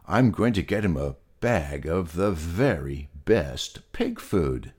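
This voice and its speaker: A man's voice: low voice, sing-song, slow, a little mellow, husky, heavily acted. A man voicing a reckless young male pig who whines and pleads in a raised voice. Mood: hurried. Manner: whiny, pleading.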